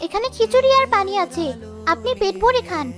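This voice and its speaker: A woman's voice: extremely high pitch, lively intonation, brisk, music in the background. A woman voicing a kind, obedient poor young girl in a cartoon, earnest and polite. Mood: caring. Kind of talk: cartoon dialogue.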